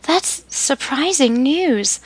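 An anxious, outspoken young sister with a soft, breathless voice that rises high, as a woman reading a storybook puts her on. The voice is pitched above an already light woman's tone. It sits close and dry, with no room sound. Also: animated, slow pace, no echo, poor audio.

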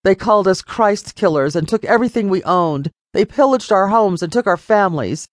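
A woman's voice: on the low side, animated, moderate pace, no echo, studio quality, lightly acted. A woman voicing an angry wealthy father, raised and blustering with threats. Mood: furious.

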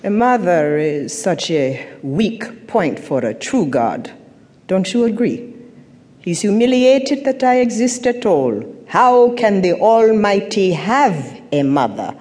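An actress playing a haughty, sardonic middle-aged African mother of a dictator in an audio drama, speaking in measured, cutting phrases. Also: mid-range voice, very animated, a little mellow. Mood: sardonic, proud. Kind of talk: audio drama stage acting. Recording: reverberant theatre-like hall.

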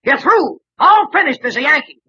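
An actor playing a sarcastic, blustering American baseball manager who scolds in an old-time radio drama. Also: male voice, extremely high pitch, sing-song, normal speed, sharp tone, full character voice. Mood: furious, sarcastic. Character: fuming, sarcastic little male baseball team manager. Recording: dull, lo-fi old radio recording.

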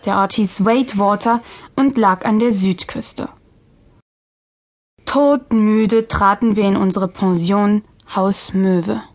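A woman's voice: mid-range voice, steady tone, slow. A woman playing a breezy, bossy young girl in a children's audio drama, talking in a colloquial way. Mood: chatty. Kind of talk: German children's audio drama acting and narration.

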